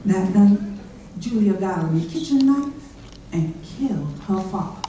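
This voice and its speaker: A woman's voice: medium pitch, expressive, a little slow. A woman giving scripted readers theater narration on a chapel stage. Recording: very echoey chapel, distant mic, faint noise.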